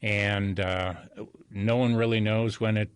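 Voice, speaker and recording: Older man, low-pitched, interview guest, folksy storyteller, self-deprecating, dry close sound. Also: flat delivery, unhurried, clear, resonant tone, dry recording. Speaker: interview guest, real estate broker. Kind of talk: anecdotal interview conversation.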